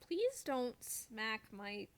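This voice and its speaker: A woman's voice: on the high side, very animated, extremely slow. A woman streamer chatting casually over gameplay in a playful, wryly self-deprecating way.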